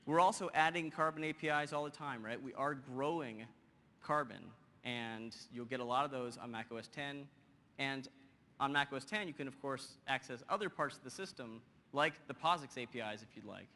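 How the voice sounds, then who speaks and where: A man giving an energetic technical presentation with a live demo to a conference audience, often tossing in "you know" and "right?" and teasing the crowd. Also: mid-range voice, normal speed. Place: large conference hall. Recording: long hall reverb, faint background hum.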